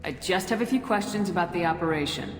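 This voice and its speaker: Female actor as squad leader, fairly low, composed, direct, businesslike, video game, echoey noisy hall. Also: quick pace, lightly acted. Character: brisk, composed woman leading an armed squad. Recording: roomy hall reverb, noisy ambience.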